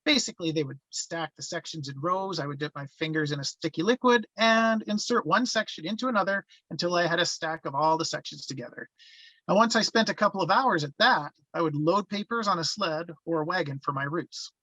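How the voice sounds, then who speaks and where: A man's voice: a little high, a little fast, pushed and bright, no room sound, poor audio. A man playing a grown narrator who looks back on his boyhood in a table read. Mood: reflective. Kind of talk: first-person narration in a screenplay table read.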